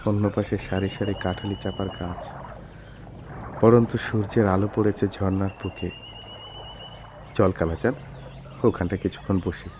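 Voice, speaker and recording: A man acting a melancholy, soft-spoken educated gentleman with measured, literary phrasing in a Bengali radio drama. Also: low-pitched, a little hiss or hum, lightly acted. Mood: wistful. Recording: dull lo-fi radio drama recording.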